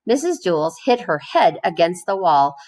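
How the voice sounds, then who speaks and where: A woman reading a children's storybook aloud in an expressive way, phrasing it clearly for young listeners. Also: fairly low pitch, normal speed.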